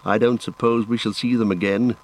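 A man voicing a gentle, resolute hobbit gentleman for an audiobook, well-spoken and earnest, chiding yet affectionate. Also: fairly low pitch, steady tone, a little fast, low-quality recording. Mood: resigned, glad. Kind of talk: audiobook character voice.

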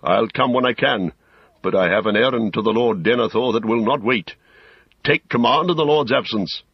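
A man's voice: medium pitch, normal speed, slightly rough, no echo, highs cut off, pitched above the performer's own voice. A man voicing a grave old male wizard, stern, weighty and commanding, in an audiobook story. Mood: grave, urgent. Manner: weighty, urgent, authoritative.

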